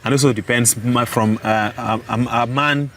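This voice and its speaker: A man in his thirties from Kenya, a guest telling his story earnestly with many pauses and restarts. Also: mid-range voice, fairly even intonation, a bit bright, a little hiss or hum. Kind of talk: storytelling in an interview.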